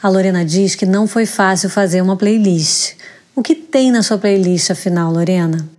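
A woman's voice: medium pitch, moderate pace, darkish tone. A woman interviewer who reads short, direct links and questions.

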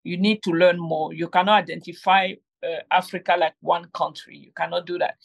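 Woman guest, middle-aged, gravelly tone, halting, lots of restarts. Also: lowish voice, steady tone. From Cameroon. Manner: hesitant, many fillers and restarts. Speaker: guest, game inventor. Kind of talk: conversational interview.

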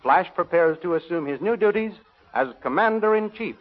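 A man announcing and narrating a 1930s radio serial in a crisp, formal way. The old recording sounds dull. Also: sits high, lively intonation, normal speed.

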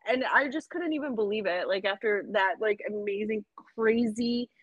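A talkative woman in her thirties hosting a podcast, frank and animated, with "like" sprinkled through every sentence. Her voice sits at an ordinary pitch. The sound is dry and a little dull, as if from a modest mic. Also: moderate pace, dry recording. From United States. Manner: chatty, lots of "like", animated and frank. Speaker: podcast host. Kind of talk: casual chat.

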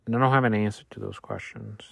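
A man in his thirties, a native Spanish speaker, reflects on his week in a relaxed monologue. His voice sits low, and he often pauses and restarts as he thinks. The recording is close and dry, with no room sound. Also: gravelly, slightly rough, no echo. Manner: thoughtful, halting, restarts often. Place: Louisiana.

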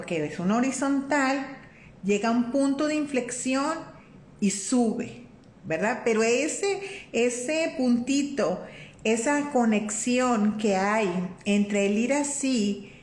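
A Mexican woman hosting a faith-based live stream. She talks in a warm, conversational way, greets viewers by name and often checks in with "¿verdad?". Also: a little high. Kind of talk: casual live-stream talk on faith and life. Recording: roomy echo with faint room noise.

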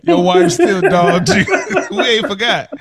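A man's voice: sits high, sing-song, slow, over a noisy crowd. A man co-hosting a podcast, chatting casually in an amused, slangy way. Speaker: podcast co-host reviewing a TV series. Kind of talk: casual chat.

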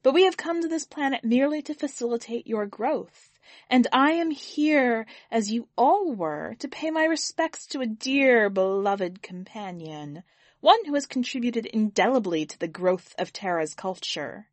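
A woman voicing a towering, owl-like alien envoy who speaks in a calm, formal, measured way. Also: a little high, no room sound, pitched above the performer's own voice. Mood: solemn.

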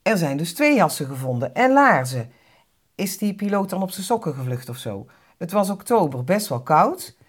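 Female documentary narrator, slightly low and husky, lively intonation, conversational. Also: moderate pace.

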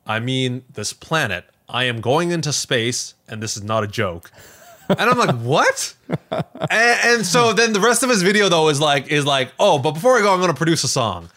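A man hosting a podcast, casually recounting a story in a rambling, animated way. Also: a little high, moderate pace. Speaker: podcast host.

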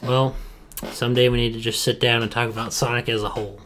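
A man's voice: mid-range voice, little pitch movement, fast talker, a crowd in the background. A young man co-hosting a podcast, chatting casually and enthusiastically about video games in slangy speech. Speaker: podcast co-host.

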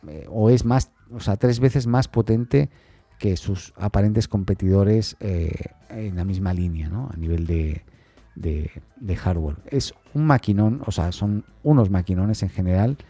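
A man hosting a tech news podcast alone, talking informally and haltingly as he thinks aloud, often adding little questioning tags. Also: on the low side, mellow, dry recording, faint music behind.

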